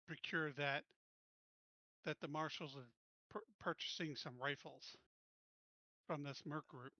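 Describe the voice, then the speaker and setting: A man's voice: on the high side, bright, forceful tone, no room sound, dull audio. A man talking casually as a player in an online tabletop roleplaying game. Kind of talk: casual in-game play talk. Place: remote online voice call.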